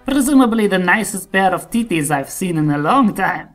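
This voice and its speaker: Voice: medium pitch, animated, moderate pace, faint music behind, close to an everyday voice. Performer as Russian autocrat, cynical, commanding, sardonic, declamatory rhymed satire. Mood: sardonic, argumentative.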